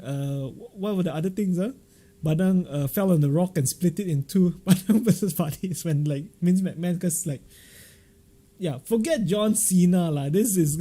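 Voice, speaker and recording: Mellow-voiced Malaysian man livestreaming. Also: on the high side. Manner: animated, jokey, uses Malaysian slang particles. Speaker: livestream host. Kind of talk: casual live-stream chat and storytelling.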